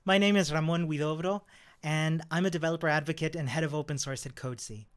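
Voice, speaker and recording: A man's voice: a little high, steady tone, quick pace, clean tone. Upbeat Chilean-born man chatting with laughs. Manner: upbeat, chatty, laughs often, many fillers. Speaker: developer advocate. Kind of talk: casual talk to camera.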